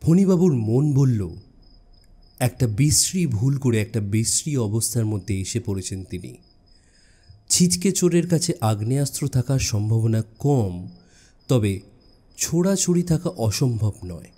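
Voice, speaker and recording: A man narrating a suspense story in a measured, dramatic way with deliberate pauses. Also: medium pitch, big pitch swings, mellow, breathy edge. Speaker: audiobook narrator. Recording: music bed behind the voice. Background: background music.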